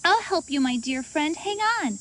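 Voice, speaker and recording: A woman voicing an excitable, cheerful young girl from a storybook, exclaiming and sweetly polite. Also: high voice, moderate pace, voice pushed up. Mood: kind, eager.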